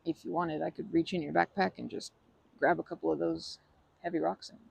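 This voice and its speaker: A woman playing a timid, kind young woman in a comforting ASMR roleplay, with a creaky voice and a hesitant, stammering, apologetic way of talking. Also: sits low, fairly even intonation, brisk, darkish tone, no echo, exaggerated voice. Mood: wistful, earnest.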